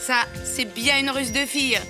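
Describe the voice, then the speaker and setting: A woman's voice: high-pitched, steady tone, moderate pace, music in the background, lightly acted. A woman voicing a blunt, teasing young schoolboy in a cartoon. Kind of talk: French animated series dubbing.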